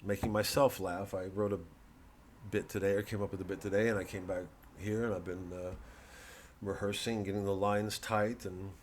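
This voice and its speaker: Low-voiced man, rambling comedian's monologue. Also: little pitch movement, a little hiss or hum. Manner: halting, digressive, peppered with "you know" and "man"; sardonic, mocking, profane when worked up. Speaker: comedian promoting his show.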